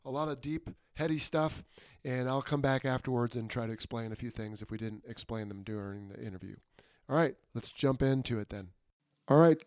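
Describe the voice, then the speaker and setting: A middle-aged man hosting a podcast in an easygoing, conversational way, often trailing off quietly at the ends of sentences. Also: fairly high pitch, no room sound, clean studio sound. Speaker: podcast host.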